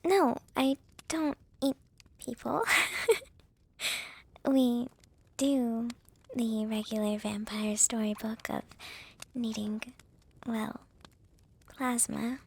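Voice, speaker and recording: A woman playing a shy, gentle young vampire with a crush in a whispered ASMR roleplay, speaking softly and haltingly with a breathy voice. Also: on the high side, fairly even intonation, full character voice. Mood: reassuring, shy. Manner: hesitant, halting, soft-spoken.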